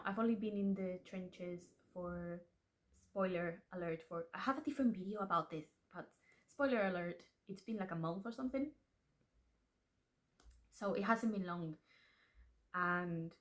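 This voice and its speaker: A young woman whose first language is Spanish, talking casually and candidly to camera as an aspiring author, chatty and self-deprecating. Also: mid-range voice. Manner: chatty, self-deprecating, restarts and laughs often. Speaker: aspiring author and channel host. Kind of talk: casual confessional vlog.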